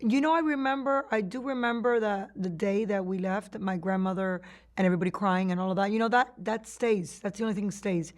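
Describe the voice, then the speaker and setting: A woman's voice: mid-range voice, fairly even intonation, brisk. Cuban-born woman recalling her past. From Cuba. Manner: reflective storytelling with frequent restarts and laughs. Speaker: interviewee. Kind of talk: oral history interview.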